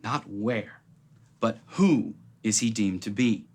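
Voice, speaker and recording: An actor playing a dry, clipped private investigator in a film-noir style skit, with a gravelly drawl. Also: male voice, fairly low pitch, slow. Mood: hard-boiled. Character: hard-boiled male private eye, clipped and dry. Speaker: detective lead in a tax explainer skit.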